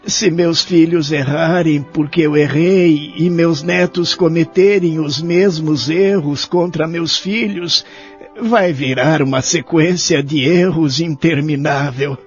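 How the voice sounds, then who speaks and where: A man playing a lonely, remorseful elderly care-home resident in an audio drama, speaking earnestly and wistfully. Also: a little high. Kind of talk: audio drama acting and first-person narration. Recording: dull lo-fi audio, faint music behind.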